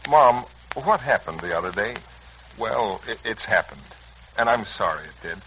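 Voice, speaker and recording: An actor with an American accent playing an earnest, slangy, hot-headed young son in a 1940s radio serial. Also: male voice, on the low side, expressive, normal speed, a bit bright, slight background noise, low-quality recording. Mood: earnest, conciliatory. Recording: old, rough radio recording.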